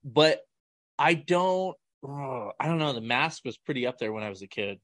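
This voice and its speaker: A man's voice: mid-range voice, lively intonation, normal speed, a bit bright, dry recording. A man in his thirties chatting casually on a podcast, joking and swearing freely. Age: 30s. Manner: profane, joking banter.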